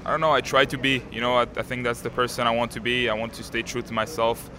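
An eighteen-year-old man from Canada, a native French speaker, answering interview questions outdoors in a calm, composed way with many fillers. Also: medium pitch, fast. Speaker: tennis player, interviewee. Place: outdoors at a tennis stadium. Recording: crowd noise behind.

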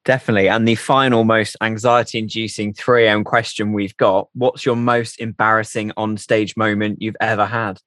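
A man's voice: fairly low pitch, level tone, normal speed, hardly any pauses, fairly bright tone, dry recording. A man hosting a radio show, interviewing a guest in a casual, comedic style.

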